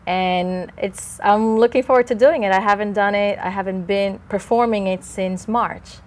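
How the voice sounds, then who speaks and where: A woman's voice: medium pitch, steady tone, normal speed, a little mellow. Woman from the Dominican Republic, chatty guest. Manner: enthusiastic, a little rambling. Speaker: actress guest. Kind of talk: casual interview chat.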